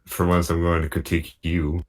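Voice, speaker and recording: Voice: deep voice, flat delivery, a little fast, darkish tone. A panelist giving frank but hesitant critique in a casual group chat.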